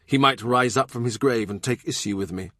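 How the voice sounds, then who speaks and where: A man's voice: medium pitch, brisk, fairly bright tone, dry recording, clean studio sound, natural voice. A man voicing a shrewd middle-aged royal minister, blunt and wry, in audiobook dialogue.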